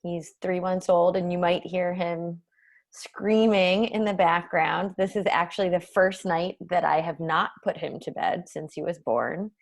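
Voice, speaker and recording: Lower-pitched woman with heavy vocal fry. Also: fairly even intonation, unhurried. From Massachusetts, United States. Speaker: author and guest speaker. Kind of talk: casual, reflective conversation. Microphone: video call.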